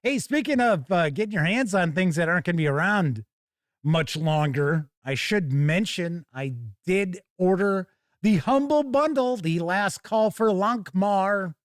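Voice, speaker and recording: A man's voice: high-pitched, lively intonation, measured pace, no echo, studio quality. A man hosting a live tabletop gaming show, chatting casually and reading news with a folksy, wry manner. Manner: folksy, wry, jokey asides.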